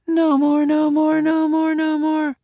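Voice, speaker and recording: A man's voice: very high-pitched, completely flat delivery, takes it slowly, creaky, warm tone, no room sound, pitched above the performer's own voice, full character voice. A man voicing a frightened small boy under hypnosis, droning and pleading in repetition.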